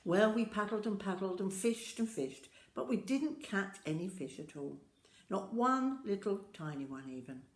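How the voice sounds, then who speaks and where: Older lady reading kids storybook, really animated up and down voice, echoey room. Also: mid-range voice. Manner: addresses young listeners directly, deliberate and expressive. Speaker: storyteller reading aloud to children. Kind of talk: children's storybook narration. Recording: roomy, echoing home-style recording.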